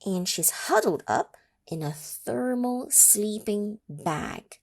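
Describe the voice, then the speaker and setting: A woman reads a children's picture book aloud slowly, in an expressive and encouraging manner. Her voice is gravelly with fry, and her pitch swings widely as she asks her listeners questions. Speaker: storytime reader.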